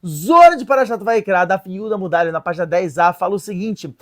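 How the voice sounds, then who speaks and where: A woman presenting a casual lesson in an animated, joking way, stopping to read and translate sources. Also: mid-range voice, fairly quick. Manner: animated, playful, joking asides; reads and translates sources aloud. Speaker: religious video presenter.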